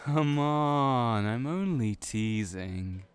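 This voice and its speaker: A man's voice: medium pitch, expressive, very slow, clear, resonant tone. A man playing a teasing young ram hybrid who works in a cafe, with playful, needling banter in an audio roleplay.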